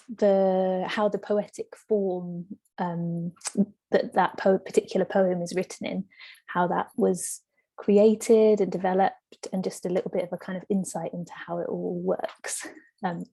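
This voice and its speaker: A woman's voice: mid-range voice, dark tone. A woman hosting an online launch, introducing speakers in an informal way. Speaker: event host. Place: online event.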